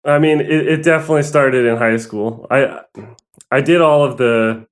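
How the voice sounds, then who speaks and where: A man's voice: medium pitch, normal speed. A young man from Southern California, a guest musician telling his story in a rambling, hesitant way. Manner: rambling, hesitant, with many fillers and laughs. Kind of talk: casual interview.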